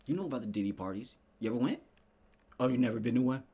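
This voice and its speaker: A man's voice: medium pitch, expressive, rapid-fire, warm tone. A man hosting a casual commentary show, talking in a slangy, sarcastic, profane way as he rants and mimics people. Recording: clearly noisy background.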